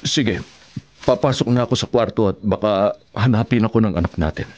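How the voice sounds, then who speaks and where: An actor playing a gentle, devoted older widower husband in a Filipino radio drama, speaking in a tender, measured way. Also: male voice, fairly low pitch, lo-fi sound, lightly acted. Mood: tender, forgiving.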